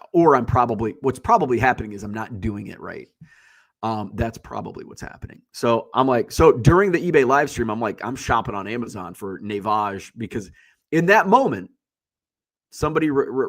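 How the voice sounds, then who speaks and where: A man's voice: mid-range voice, lively intonation, moderate pace, fairly bright tone. Congested male stream host, loose rambling delivery, blunt and profane, mid-range voice. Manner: blunt, rambling, swears freely. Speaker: livestream host. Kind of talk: casual solo chat.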